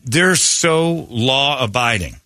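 A man's voice: mid-range voice, lively intonation, slow pace, pushed and bright, dry recording, studio quality. A man hosting a comedy morning radio show, riffing in an irreverent, profane way.

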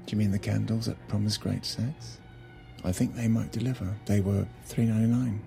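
A man in middle age playing an earnest, flustered husband in a naturalistic audio drama, halting and self-deprecating as he pleads. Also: lowish voice, fairly even intonation, creaky, warm tone, faint music behind. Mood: wry. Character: earnest, flustered husband trying hard at romance.

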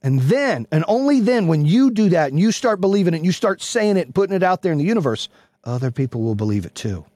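Guy doing a pushy motivational rant, rough husky voice, sweary, repeats himself for punch, really dry sound. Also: high voice, sing-song, fast talker, mellow, no room sound. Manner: brash, profane, emphatic, repeats phrases for punch. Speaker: motivational speaker and host. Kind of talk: motivational monologue.

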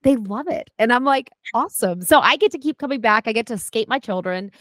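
A woman's voice: a little high, fast talker, dry recording. A woman in her forties tells her story with bounce and lots of side remarks. She restarts her sentences and keeps jumping back in. The sound is dry and close, with no room in it. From United States. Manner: animated storyteller, many restarts and asides. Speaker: guest, food historian and cooking teacher. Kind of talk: casual interview chat.

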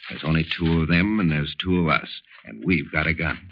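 Gruff outlaw ringleader, deep and gravelly, hissy old radio. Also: male voice, a little fast. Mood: commanding. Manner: gruff, commanding, dismissive. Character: cool-headed male outlaw leader on the run. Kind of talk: old-time radio drama acting. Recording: dull, noisy old radio recording.

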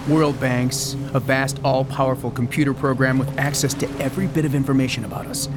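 A man's voice: mid-range voice, steady tone, a little fast, noisy background, lightly acted. A man acting in an audio drama as an obsessive, insistent conspiracy theorist. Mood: conspiratorial. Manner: earnest, insistent explaining. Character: obsessive male conspiracy theorist, earnest, defensive, urgent.

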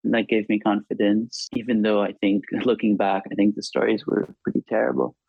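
Male author, Hebrew native speaker, deep voice, dry close sound, reflective and halting interview talk. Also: fairly even intonation, gravelly, slightly rough, no echo. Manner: halting, thoughtful, frequent restarts. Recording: dull lo-fi recording.

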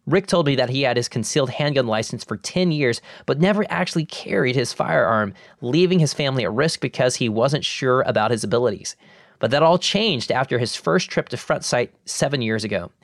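A man hosting the show, reading an ad and narration in a smooth, even announcer delivery. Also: medium pitch, fairly quick, no echo, clean studio sound. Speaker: host. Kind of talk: scripted narration and ad read.

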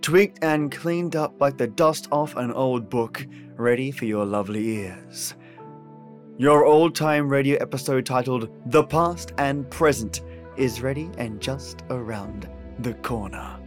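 A man's voice: medium pitch, unhurried, soft background music. A man hosting a podcast with a scripted, theatrical and chummy introduction. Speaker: podcast host.

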